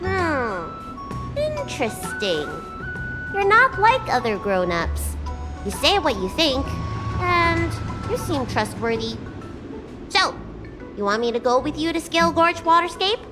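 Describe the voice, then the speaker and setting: A very high, swooping little dragon girl, blunt and curious like a child. She is a carefree young elder from a video game, with music playing behind her. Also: sing-song, takes it slowly, pauses often, exaggerated voice. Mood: frank, musing. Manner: frank, playful, childlike curiosity.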